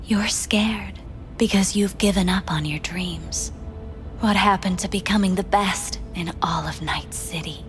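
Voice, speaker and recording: A woman playing a soft, probing, hypnotic pleasure-doll companion in a video game, speaking intimately and often in a whisper. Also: lowish voice, a little slow, faint music behind, close to an everyday voice.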